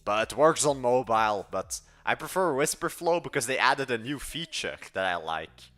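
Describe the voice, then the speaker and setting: A man's voice: mid-range voice, lively intonation, moderate pace, a bit bright, faint music behind. An eighteen-year-old man chatting casually on a live stream, rambling and trailing off mid-thought. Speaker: livestreaming coder.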